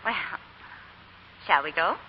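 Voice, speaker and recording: An actress playing a proud, headstrong young belle in a theatrical, impassioned 1940s radio drama style. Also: female voice, deep voice, animated, slow, a little hiss or hum. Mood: taunting. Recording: muffled 1940 radio broadcast, music behind.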